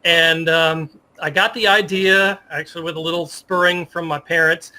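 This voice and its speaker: A man's voice: a little high, fairly even intonation, normal speed, bright, forceful tone, poor audio. An American man in his fifties telling stories in a casual interview, with an enthusiastic, reminiscing manner. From Virginia, United States. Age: 50s. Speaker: interview guest, sports media professional.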